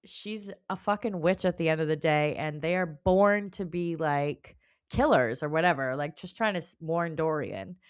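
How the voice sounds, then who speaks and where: Female podcast co-host, somewhat low register, loose slangy chat with plenty of swearing and giggles. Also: moderate pace, clear, resonant tone. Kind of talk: casual book club chat.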